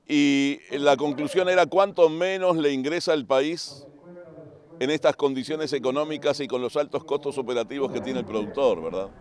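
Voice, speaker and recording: A man with a Uruguayan accent interviewing a guest, asking measured questions that end in tag questions. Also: fairly high pitch. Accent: Uruguayan Spanish.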